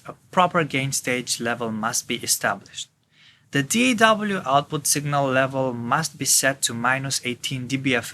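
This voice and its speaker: A man's voice: mid-range voice, bright, forceful tone, a little hiss or hum. A man reads scripted instructions as an instructor, in a formal, methodical, step-by-step way.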